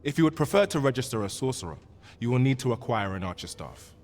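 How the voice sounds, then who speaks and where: An actor playing a courteous guild clerk in a fantasy game, speaking formally and apologetically in old-fashioned phrasing. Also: male voice, mid-range voice, lively intonation, fast talker, darkish tone, natural voice. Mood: courteous, helpful. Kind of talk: scripted fantasy game dialogue. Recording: long hall reverb, noisy ambience behind.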